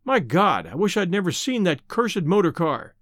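A man voicing a rough, surly vagrant who whines and complains. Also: high voice, very animated, moderate pace, a bit bright, no room sound, studio quality, exaggerated voice. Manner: rough, whining. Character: surly down-on-luck man faking a rough persona.

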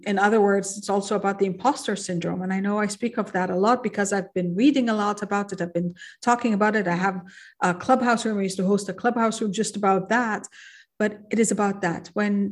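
A woman from Egypt who grew up in Kuwait, hosting a podcast interview and sharing her own story in a reflective, personal way. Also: mid-range voice. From Egypt, raised in Kuwait. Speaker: podcast host. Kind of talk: conversational interview.

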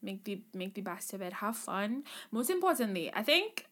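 A woman co-hosting a podcast in casual chat, animated and encouraging, laughing often. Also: mid-range voice, moderate pace. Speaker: podcast co-host.

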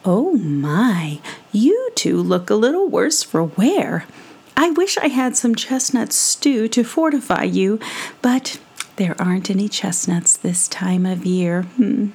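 Woman doing a kindly old woman, soft and hushed, breathy, slow, storybook. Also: medium pitch, very animated, exaggerated voice. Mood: gentle.

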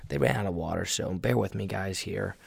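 A young man, a college volleyball player, talking casually in a vlog recap, his voice hoarse and raspy from a road trip. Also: deep voice, fairly quick, no room sound. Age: young adult. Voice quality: raspy, gravelly.

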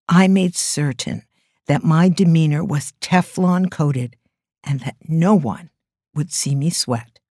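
A middle-aged woman delivers a reflective spiritual talk with earnest emphasis. Her voice is mellow and a little low, and the recording sounds dry and close. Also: no echo. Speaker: podcast host and teacher.

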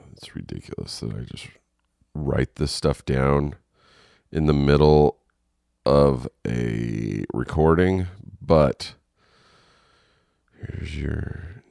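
Congested male podcast host, nasal and gravelly, very low, unhurried rambling delivery. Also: darkish tone, no echo, studio quality. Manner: rambling, frank, with frequent ums and restarts. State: congested and coughing. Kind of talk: casual solo podcast monologue. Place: home.

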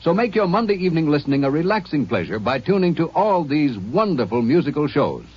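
A man announcing network programs in a bright, booming 1950s radio style, in a dull old broadcast. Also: sing-song, fairly quick, husky. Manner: bright, booming promo delivery.

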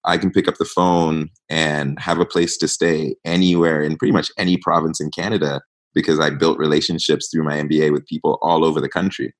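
Very deep-voiced man from East Africa. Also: level tone, a little fast. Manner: reflective storytelling, many fillers. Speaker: interview guest. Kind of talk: casual interview talk.

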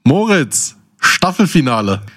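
A man's voice: on the high side, very animated, measured pace, bright, forceful tone. A man in his thirties from southwestern Germany, co-hosting a podcast in casual chat with mock-formal introductions. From Baden-Württemberg, Germany. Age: 30s. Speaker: podcast co-host.

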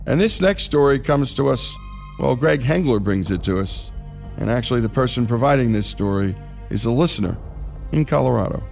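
A man's voice: medium pitch, animated, brisk, vocal fry, a little mellow. American male radio host, average register, presenting a scripted introduction over faint music.

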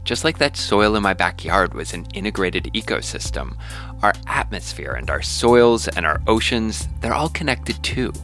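A man's voice: fairly low pitch, very animated, normal speed, fairly bright tone, faint music behind. A man hosting a science podcast, asking questions and narrating in a conversational style.

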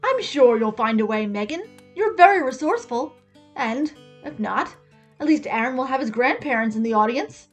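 A woman voicing a condescending, scolding grandmother in a story, brisk and unhelpful. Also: sits high, animated, soft background music.

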